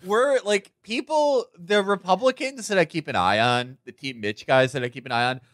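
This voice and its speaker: A man's voice: high-pitched, moderate pace, fairly bright tone, dry recording, clean studio sound. An American man hosting a podcast, talking in casual comedic banter with a crude, profane, rambling manner. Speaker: podcast host.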